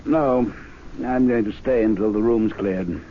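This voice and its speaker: An actor playing a courteous, quietly probing gentleman in a radio drama, polite and deliberate. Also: on the low side, lightly acted. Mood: reflective. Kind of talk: old-time radio drama acting. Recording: dull hissy vintage radio recording.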